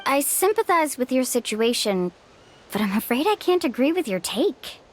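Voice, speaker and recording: A woman's voice: high voice, big pitch swings, moderate pace. A woman playing an upbeat, easygoing young tour guide with a friendly, encouraging manner in a video game. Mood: considerate. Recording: music behind the voice.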